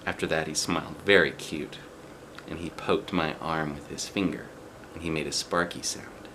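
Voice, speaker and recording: A man reading a children's book aloud with expressive narration. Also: extremely low pitch, moderate pace, noisy background. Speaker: book reader.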